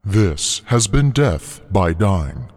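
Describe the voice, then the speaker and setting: A man reading the closing credits of a podcast in a steady, measured way. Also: sits low, soft background music.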